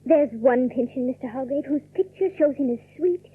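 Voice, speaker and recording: An actress playing a polite, timid young country cousin in a radio drama, speaking with hesitant courtesy. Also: female voice, high voice, a little fast, husky. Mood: shy, flustered. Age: young adult. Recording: dull, dry old radio recording.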